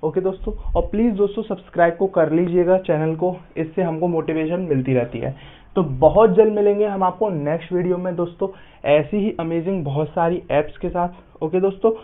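Higher-voiced man hosting a tutorial. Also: a little fast, narrow, tinny audio. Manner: repeats friendly address to viewers. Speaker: YouTube host. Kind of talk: app tutorial voice-over.

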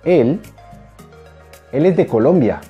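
A young man teaching a language lesson to camera in an emphatic, animated manner, repeating phrases. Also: fairly high pitch, slow, lo-fi sound, faint music behind. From Peru. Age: young adult. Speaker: Spanish teacher. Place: small indoor room, plain wall. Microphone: clip-on lapel mic.

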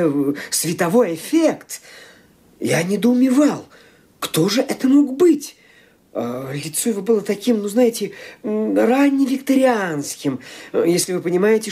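A nervous young nephew who keeps stumbling over his words and hedging. His voice is soft and slightly breathy, with a warm tone. It rises and falls a lot as he talks. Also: sits high, heavily acted. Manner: hesitant, halting, full of fillers. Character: awkward young nephew explaining himself to his aunt.

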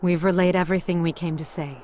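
A woman's voice: on the low side, fairly even intonation, quick pace, a little mellow, a little hiss or hum, close to an everyday voice. A woman playing a stern, matter-of-fact young female ninja in an anime dub, firm and blunt. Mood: businesslike. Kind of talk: dramatic anime dub acting. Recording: music behind the voice.

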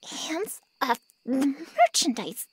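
Snide man-eating she-monster , played by a woman for ASMR roleplay: breathy, taunting, unhurried, very dry sound. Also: high-pitched, very animated, no echo, exaggerated voice, rough recording. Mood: indignant, scolding. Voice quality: breathy, often half-whispered. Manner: sarcastic, insulting, teasing drawl. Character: snide, taunting female monster who feeds on men.

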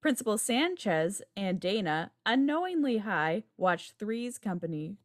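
A woman's voice: medium pitch, very animated, a little slow, no echo. Dry-sounding woman reading stage directions. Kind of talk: scripted narration. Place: indoor room, on a video call. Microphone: video call microphone.

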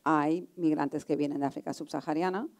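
Low, creaky-voiced woman moderator. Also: fairly even intonation, brisk. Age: middle-aged. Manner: informal, rephrasing and giving examples. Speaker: panel moderator. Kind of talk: conversational moderating. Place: indoor auditorium stage, seated on a panel.

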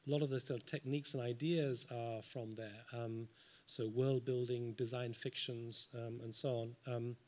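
Man, panel speaker, unscripted, thoughtful, lots of ums, dry humor, mid-pitched voice, table mic in a lecture hall. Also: level tone, moderate pace, few pauses, a little mellow. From United Kingdom. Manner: thoughtful, frequent um fillers, dry asides. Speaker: symposium panelist. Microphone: table microphone.

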